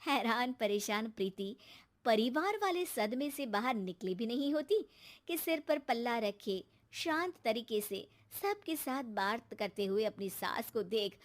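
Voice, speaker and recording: A woman storyteller reads scripted cartoon narration. Her voice sits very high for a woman. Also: lively intonation, unhurried.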